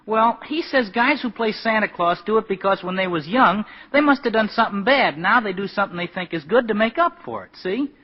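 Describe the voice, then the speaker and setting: An actor playing a naive, good-hearted, downcast young working-class fellow in a 1940s radio drama, simple and hesitant in speech. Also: male voice, high-pitched, animated, brisk, few pauses, slightly rough. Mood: glum, naive. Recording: muffled 1940s radio broadcast.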